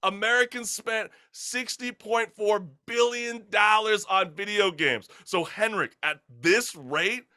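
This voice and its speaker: Bright, punchy young male talk host. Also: high-pitched, takes it slowly, few pauses. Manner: animated, slangy, joking. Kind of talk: casual talk show chat.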